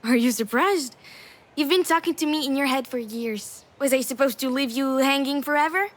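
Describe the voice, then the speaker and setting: A woman playing a young daughter returned from the dead, cheeky, calm and matter-of-fact, in a video game. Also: sits high, normal speed, close to an everyday voice.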